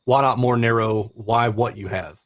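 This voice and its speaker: A man's voice: on the low side, steady tone, normal speed. A man in his forties hosting a casual technical interview, informal and given to conversational asides. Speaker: host, interviewer.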